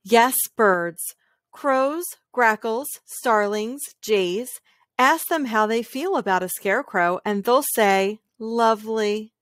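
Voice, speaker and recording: A woman reading a children's picture book aloud in a calm, gentle, measured way, pausing between phrases. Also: a little high, no room sound, studio quality. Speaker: read-aloud storyteller.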